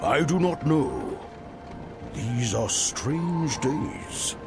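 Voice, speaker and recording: Gravelly, suspicious dwarf commander. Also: male voice, mid-range voice, big pitch swings, drawn-out words, full character voice. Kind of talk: video game cutscene acting. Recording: loud music behind. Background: loud music.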